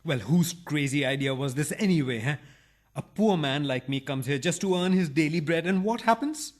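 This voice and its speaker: A man's voice: a little high, expressive, moderate pace, natural voice. A man playing an aggrieved married burglar in a farcical radio play, protesting in a theatrical, mock-humble way. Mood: indignant. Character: aggrieved married burglar, indignant yet oddly principled and polite.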